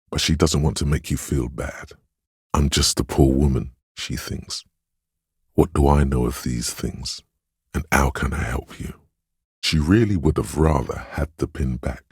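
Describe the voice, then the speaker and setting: A sombre, knowing inner voice of empathy for a narrative video game. It is an exceptionally deep male voice, thick with husk and rasp, recorded dry and close. The delivery is measured, gentle and weighty, as if confiding in a troubled detective. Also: dry recording, full character voice.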